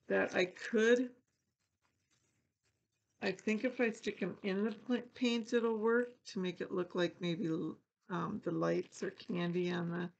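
An older woman with a gravelly edge to her mid-pitched voice talks her way through a craft project. She chats casually and pokes fun at her own mistakes. She is recorded by a camera sitting on her table indoors. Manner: chatty, self-deprecating, thinking aloud while working. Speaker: craft channel host. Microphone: fixed camera over a craft table.

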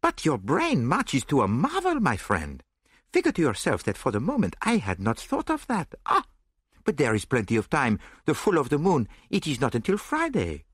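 A fastidious detective with a French accent. He speaks in a raised pitch with wildly animated intonation and clean, close sound. His manner is courtly and theatrical, with quirky turns of phrase. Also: slightly rough, no echo. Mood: mild, admiring. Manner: exclamatory, courtly, oddly phrased English. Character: fastidious, theatrical foreign male detective, fond of dinner.

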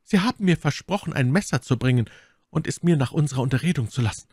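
Brief: male reader doing a proud, scheming noblewoman, raised pitch, dramatic and swooping, dry close sound. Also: lively intonation, quick pace, a little mellow, breathy edge, dry recording. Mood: insistent. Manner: dramatic, pleading and scornful. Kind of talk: audiobook character reading.

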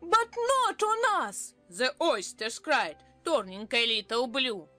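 A woman plays a petulant, dramatic twin brother from a storybook. The voice is fairly high and very animated, reciting in theatrical style. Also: slow pace, soft background music, full character voice. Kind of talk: dramatized audiobook acting.

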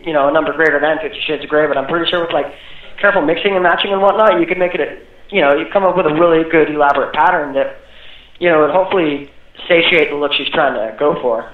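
Male store employee on a phone, fairly high voice, casual slangy talk, thin narrow sound. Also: a little hiss or hum. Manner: slangy, easygoing, halting. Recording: tinny, echoey phone line.

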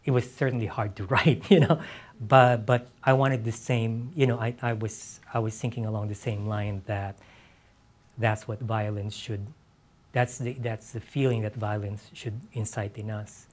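A man's voice: on the low side, steady tone, moderate pace. A middle-aged man, a novelist, answering casually in an onstage interview, hesitantly and often repeating the first words of a phrase. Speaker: novelist, guest. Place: on stage in an auditorium before an audience.

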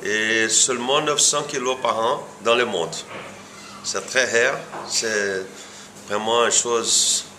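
A man with a Brazilian accent and a mid-pitched voice talks in an informal interview. He is halting, often repeating small words as he looks for the next one. Clear room noise sits behind him. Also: steady tone, bright, forceful tone. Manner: halting, word-searching non-native French with repeated words. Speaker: coffee farm owner being interviewed. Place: indoor room, seated before camera. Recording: clearly noisy room ambience.

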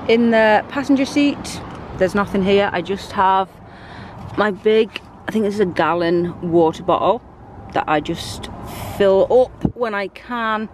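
A British woman in her thirties giving a relaxed, chatty walkthrough of her car, filmed on a handheld camera. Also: medium pitch, unhurried, slight background noise. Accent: British English. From United Kingdom. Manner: chatty, relaxed, lots of fillers. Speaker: vlogger. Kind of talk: casual show-and-tell walkthrough. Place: inside and around a car, outdoors by the sea.

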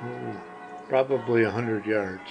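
An older man answers questions slowly, with hesitant short replies. His voice sits at an ordinary pitch. Faint music plays underneath. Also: vocal fry, dull audio. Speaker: guest, the hosts' father. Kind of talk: casual interview answers.

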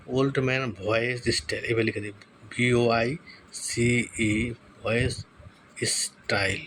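A man talks through a tutorial in a mid-pitched voice. He explains informally, often thinking aloud in a murmur, with some background noise behind him. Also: a bit bright, low-quality recording. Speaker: tutorial host. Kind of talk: casual screen-recorded tutorial, thinking aloud.

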